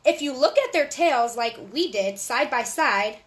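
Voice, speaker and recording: A woman with a high voice reads a rhyming children's book aloud. Her delivery is animated and sing-song, pitched directly at little listeners as a storyteller would. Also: a little slow.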